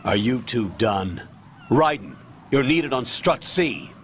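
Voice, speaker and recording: A man's voice: medium pitch, expressive, takes it slowly, slight background noise. An actor playing a stern, commanding male military commander giving orders over the radio.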